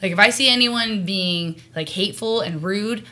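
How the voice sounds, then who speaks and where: A young woman co-hosting a casual podcast over wine, giggly and animated, acting out little quotes. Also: medium pitch, normal speed, background noise. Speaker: podcast co-host.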